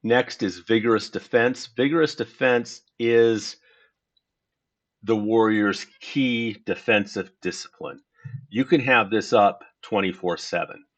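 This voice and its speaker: A man talking through his game setup in a relaxed walkthrough, explaining step by step and correcting himself as he goes. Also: fairly low pitch, dull audio. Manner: unhurried and explanatory, with frequent self-corrections. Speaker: gaming tutorial host. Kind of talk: casual screen-recorded game walkthrough.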